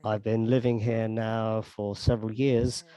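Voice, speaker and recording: A man's voice: on the low side, level tone, unhurried, mellow, clean tone. A man interpreting live into English on an online meeting, hesitant and full of restarts and stumbles. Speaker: simultaneous interpreter.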